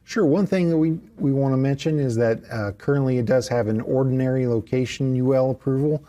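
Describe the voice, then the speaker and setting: Young guy on a video call, mellow voice, plainspoken answers abt a product. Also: medium pitch, fairly quick. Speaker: product manager. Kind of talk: casual interview answers.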